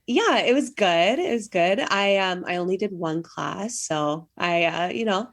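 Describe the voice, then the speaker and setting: A woman's voice: on the low side, lively intonation, unhurried. A young woman, chatting casually as a podcast co-host. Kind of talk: casual chat.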